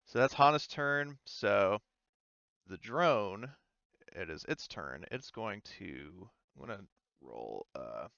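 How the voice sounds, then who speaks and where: A man with a clear, mid-pitched voice runs a tabletop roleplaying game. He is casual and halting, reasoning through rules as he goes. The sound is very dry and a little lo-fi. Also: lively intonation, a bit bright, dry recording. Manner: halting, thinking aloud while working out rules. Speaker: game master.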